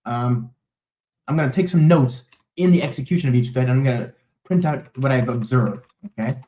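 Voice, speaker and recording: A man of ordinary pitch leads a live coding session, talking loosely as he types and stopping often to check in with his viewers. His voice rings a little in a reverberant room. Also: normal speed, warm tone. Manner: rambling, many fillers and "okay?", "right?" check-ins; talks to a live chat while typing code. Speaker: host of a live coding stream. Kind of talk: live coding walkthrough, casual and chatty.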